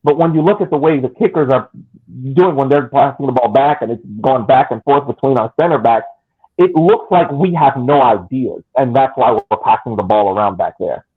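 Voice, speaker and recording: A man's voice: mid-range voice, normal speed, slightly rough, narrow, tinny audio. A man co-hosting a soccer podcast, making long argumentative points in casual chat. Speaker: podcast co-host.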